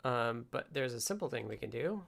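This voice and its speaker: Man thinking aloud, creaky voice. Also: medium pitch, animated, a bit bright. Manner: halting, muttering to himself while working. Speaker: livestream host, programmer. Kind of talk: casual live coding stream, thinking aloud. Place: indoors at a desk with computer.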